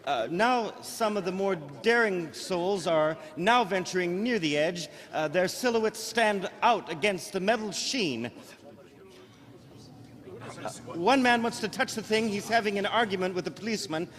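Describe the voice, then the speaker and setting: Radio play casting: man to play an excitable, stammering field reporter, high-pitched and horrified, heard in a reverberant hall. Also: measured pace. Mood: excited, curious. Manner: breathless, stammering, hesitant live commentary. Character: excitable, horrified male radio news reporter at a disaster scene. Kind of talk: old-time radio drama eyewitness reporting.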